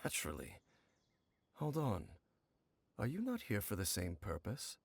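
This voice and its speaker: Deep, solemn, brooding wanderer. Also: male voice, huge pitch swings, creaky, husky, exaggerated voice. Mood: aloof, composed. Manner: solemn, formal, measured, brooding. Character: grave, aloof, world-weary male wandering swordsman. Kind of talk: video game voice acting.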